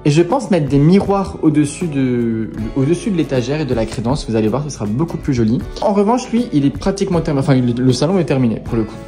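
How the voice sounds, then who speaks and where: A man's voice: medium pitch, animated, quick pace, hardly any pauses, dark tone, faint music behind. A man casually talking to camera as he walks through an apartment he is renovating, explaining as he goes. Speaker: renovation vlogger. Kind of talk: casual walkthrough talk to camera. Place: apartment indoors.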